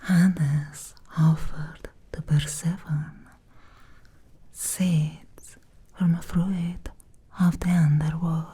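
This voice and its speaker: A seductive female vampire from a Victorian ASMR roleplay, acted out by a woman, whispers in a very dark, breathy voice. She lingers extremely slowly over each phrase, intimate and teasing. Her manner is softly predatory and obsessed. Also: sits low, exaggerated voice.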